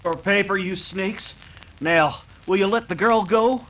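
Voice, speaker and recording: A man plays an earnest young American cowboy in a radio western, defiant toward his foes. His voice sits a bit high, with faint noise in the recording. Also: measured pace. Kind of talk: old-time radio western acting.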